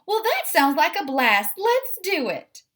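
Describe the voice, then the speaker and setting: A woman voicing a vain, fussy, excitable female pet cat in a prim, dramatic way for a children's storybook. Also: sits high, measured pace, pitched above the performer's own voice, full character voice. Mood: excited. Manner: prim, self-admiring, dramatic.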